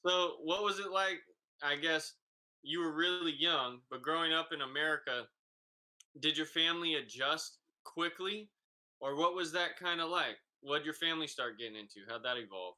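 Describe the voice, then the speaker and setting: A man hosting a casual podcast, excitable and hyping up his guest. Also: on the high side, moderate pace, poor audio. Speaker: podcast host.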